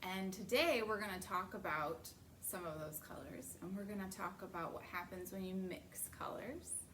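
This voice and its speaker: A woman's voice: mid-range voice, normal speed, fairly bright tone. A woman with an American accent hosting a children's story time, talking simply and putting questions to young listeners. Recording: noticeable background noise.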